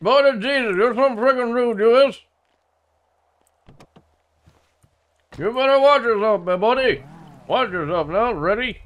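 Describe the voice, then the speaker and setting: A man playing a touchy, rough-spoken rural man, blustering and swearing and calling everyone "boy". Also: very high-pitched, moderate pace, fairly bright tone. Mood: grumbling threats. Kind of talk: comedy sketch acting.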